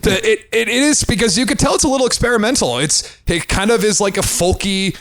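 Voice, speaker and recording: A man's voice: high-pitched, fast talker, fairly bright tone. A man co-hosting a podcast, talking about film in a casual, rambling way with plenty of swearing. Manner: profane, rambling delivery. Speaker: podcast co-host.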